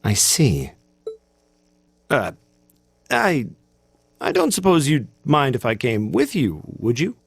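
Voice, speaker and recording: A man's voice: mid-range voice, wildly swooping pitch, takes it slowly, gravelly, long pauses, husky, no room sound, exaggerated voice. An American man voicing a stiff, proud, bookish teenage cadet in a video game, formal and hesitant. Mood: awkward. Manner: formal, hesitant, self-conscious.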